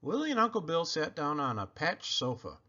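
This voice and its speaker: A man reading a children's book aloud with expressive, emphatic delivery. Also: a little high, normal speed, muffled recording. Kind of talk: animated storybook read-aloud narration.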